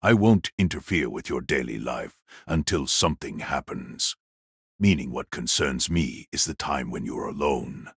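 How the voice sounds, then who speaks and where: A man playing a stern, commanding elite warrior in an audio drama, curt and husky. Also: a bass voice, expressive, measured pace, a bit bright, dry recording, exaggerated voice. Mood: firm, apologetic. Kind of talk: audio drama character acting.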